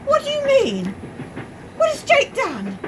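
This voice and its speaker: A woman's voice: huge pitch swings, unhurried, heavily acted. A woman playing a frightened, defensive working-class train passenger in a radio play, speaking bluntly and colloquially. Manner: colloquial, non-standard grammar, blunt. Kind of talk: amateur radio play acting. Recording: noisy, train carriage rumble behind.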